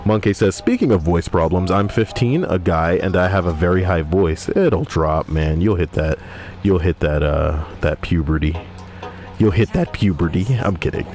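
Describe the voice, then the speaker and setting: Low-voiced man streaming over music. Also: moderate pace, darkish tone. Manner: profane, joking, reads viewer messages aloud. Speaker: streamer reading chat. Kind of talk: casual gaming livestream chat. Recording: music playing behind voice.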